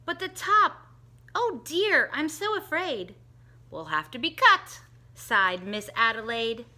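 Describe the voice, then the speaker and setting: A middle-aged woman reads a rhyming children's book aloud at an unhurried pace. Her voice is bright and a little high, and it swoops up and down in a sing-song way as she changes voices for the characters. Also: big pitch swings. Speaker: teacher reading a picture book aloud. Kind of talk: storybook read-aloud for children.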